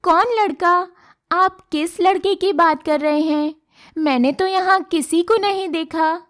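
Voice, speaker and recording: A woman voicing a kind, brave young village woman in a cartoon story, earnest and expressive. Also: unhurried. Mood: defiant. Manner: earnest, expressive, high-voiced. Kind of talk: Hindi cartoon moral story dialogue.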